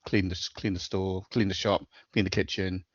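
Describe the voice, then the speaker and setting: A man's voice: low voice, little pitch movement, normal speed, husky, no room sound, highs cut off. A middle-aged man with a Liverpool accent, a podcast guest talking in a level, matter-of-fact way with many hesitations. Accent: Liverpool (Scouse). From Liverpool, England. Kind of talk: casual interview chat.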